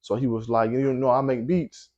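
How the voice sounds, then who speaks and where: A young man from Milwaukee talks as a guest in a casual interview, loose and unhurried, full of slang and fillers. His voice sits at an ordinary pitch and is deep in tone. The sound is a little dull and lo-fi. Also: little pitch movement, a little mellow. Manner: laid-back storytelling with slang and frequent fillers. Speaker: guest rapper.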